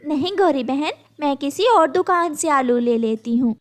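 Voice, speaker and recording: Woman as a high-pitched, timid little mother bird. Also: lively intonation, moderate pace. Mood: politely refusing. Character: small, timid mother bird, mild but protesting.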